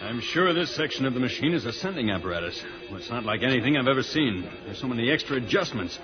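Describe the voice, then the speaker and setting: An actor playing an earnest, level-headed space hero, decisive and explanatory, in an old-time radio serial. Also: male voice, medium pitch, animated, quick pace, very noisy, lightly acted. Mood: focused, calm. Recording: dull old radio recording with music.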